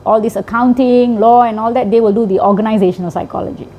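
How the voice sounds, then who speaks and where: A woman's voice: on the high side, fairly even intonation, fast, mellow. A middle-aged woman teacher with a Malaysian English accent, explaining her work in an interview and often ending points with a tag question. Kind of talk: interview answers.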